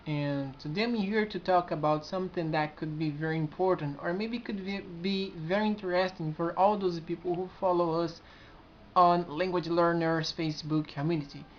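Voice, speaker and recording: A man in his twenties with a Brazilian accent talks straight to the camera in an earnest, halting way, often restarting his sentences. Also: fairly high pitch, steady tone, slight background noise. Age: 20s. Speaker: community administrator. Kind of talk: casual vlog to camera. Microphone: built-in camera mic. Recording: dull lo-fi camera audio.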